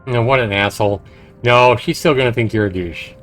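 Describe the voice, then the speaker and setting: A man livestreaming a game, commenting and reading visual novel text aloud in an expressive, dramatic way. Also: mid-range voice, fairly quick, faint music behind. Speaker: game streamer.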